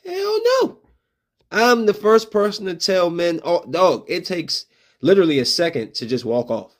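A man with a high, bright-pitched voice holds forth on his own podcast. His talk is loose and full of slang. He breaks into animated, raised-voice re-enactments of confrontations as he makes his points. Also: normal speed. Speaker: podcast host. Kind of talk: solo opinion podcast talk.

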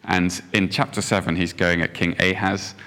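A man's voice: low voice, little pitch movement, moderate pace, sharp tone, in a large hall. A young man giving an informal sermon, chatty and self-deprecating, telling a school story with lots of ums. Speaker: preacher.

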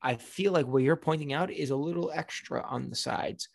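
A young adult man co-hosting a podcast, playful and expressive, swearing casually in banter. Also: a little high, normal speed, creaky, no room sound, studio quality. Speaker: podcast co-host and actor.

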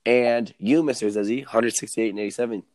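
A man co-hosting a casual sports podcast, a little hoarse, reeling off standings with excitement. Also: mid-range voice, fairly quick, a crowd in the background. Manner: excited, rattles off stats. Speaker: podcast co-host.

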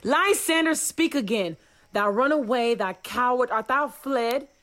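A woman's voice: a little high, unhurried, fairly bright tone. A woman playing a proud, combative young male duellist in a Shakespearean radio play, declaiming challenges in verse. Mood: angry, challenging.

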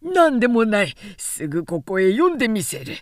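Irascible old male clan elder, performed by a female reader, loud and pompous, period storybook drama. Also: on the high side, very animated, moderate pace, dry recording, studio quality. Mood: indignant, flustered. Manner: forceful, pompous, archaic samurai speech. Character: irascible, blustering old samurai chief retainer.

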